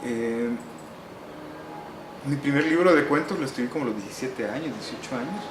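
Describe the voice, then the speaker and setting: Middle-aged Salvadoran man, interview guest, unhurried and wry, mid-pitched, recorded in a roomy space with air-conditioning hum. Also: animated. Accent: Salvadoran Spanish. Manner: unhurried, reflective, with frequent "eh" pauses and wry humor. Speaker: interviewee, novelist and musician.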